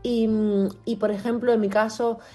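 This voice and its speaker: A woman musician who is a native French speaker and comes from Cuba, giving reflective interview answers in an earnest, deliberate and carefully articulated way. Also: on the high side, flat delivery, warm tone, faint music behind.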